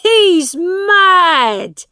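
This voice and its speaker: Woman putting on a loud, brutish bullying father. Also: lowish voice, fairly even intonation, extremely slow, no echo, clean studio sound, exaggerated voice. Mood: mocking, shouting. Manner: loud, scornful, shouting. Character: brutish, mocking, bullying father, a poor man.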